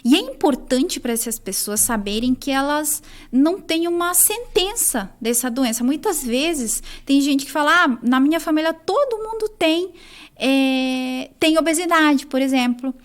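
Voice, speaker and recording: A woman's voice: sits high, lively intonation, a little slow. A young adult woman from Chile with a Chilean accent, a nutritionist guest explaining her work in an interview, with frequent fillers. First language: Spanish. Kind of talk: interview answers.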